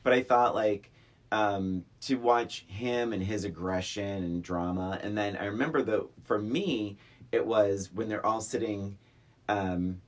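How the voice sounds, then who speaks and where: A middle-aged podcast co-host chatting casually about movies in a halting, rambling way, often acting out past reactions. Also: low-pitched, fairly even intonation. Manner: halting, rambling, self-quoting storytelling.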